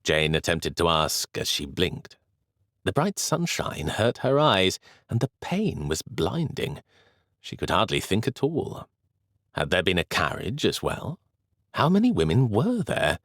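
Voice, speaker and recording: Male narrator for a historical novel audiobook, low voice with lively intonation, dry studio sound. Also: no room sound. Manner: measured, expressive storytelling.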